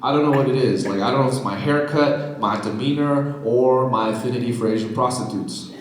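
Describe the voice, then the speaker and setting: A man from China doing a stand-up comedy set on a festival stage, with deadpan punchlines and teasing of the crowd. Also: medium pitch, fairly quick, background noise. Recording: echoey theater, loud audience laughter.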